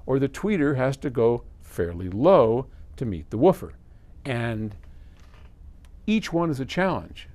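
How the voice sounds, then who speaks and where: A man's voice: mid-range voice, a little mellow. An American man in his seventies casually explaining to camera, conversationally answering a question with simple examples and asides. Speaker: audio company presenter answering viewer questions. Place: small indoor electronics workshop.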